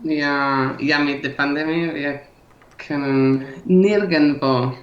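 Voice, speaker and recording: Slow-paced woman speaking halting non-native German. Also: deep voice, fairly even intonation. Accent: non-native, foreign-accented German. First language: not German; learned it as a foreign language. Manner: halting, searching for words. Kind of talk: casual language-practice chat. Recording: echoey room, muffled audio.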